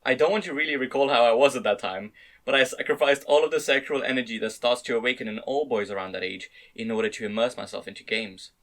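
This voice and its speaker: Guy doing an amateur dramatic reading, narrating a story, medium pitch. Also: fast, fairly bright tone. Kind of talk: dramatic reading of fan-read light novel narration.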